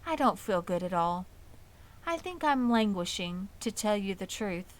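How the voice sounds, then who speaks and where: Storybook casting: ageing female spider played by a woman, gentle, dignified and tired, articulate, unhurried, medium pitch. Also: lively intonation, natural voice. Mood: sad, weary. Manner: composed, articulate, slightly formal.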